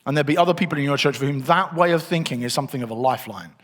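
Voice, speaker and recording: A man's voice: on the high side, racing, a bit bright. A middle-aged man giving a conversational church teaching session, thinking aloud as he works through his slides. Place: church hall. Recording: long reverb of a hall.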